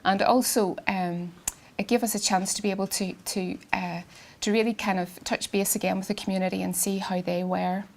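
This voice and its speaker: A woman, an artist giving a public talk in a quiet, soft voice, hesitant with many ums and restarts, and she has a cold. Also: mid-range voice, fairly even intonation, normal speed, hardly any pauses. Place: garden event space with sound system.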